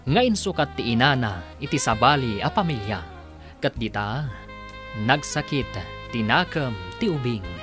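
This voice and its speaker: A woman's voice: a bass voice, lively intonation, normal speed. A woman reading scripted narration as the announcer of a radio drama. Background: music.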